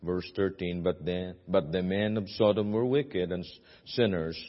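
A man with a Filipino accent, a guest preacher giving a sermon in a church, earnest and halting, with many fillers and restarts. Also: deep voice. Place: church sanctuary. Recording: dull audio with long hall reverb.

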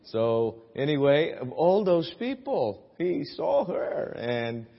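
A man with a Filipino accent, a guest preacher giving a sermon in a church, earnest and halting, with many fillers and restarts. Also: a little high, very animated. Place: church sanctuary. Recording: dull audio with long hall reverb.

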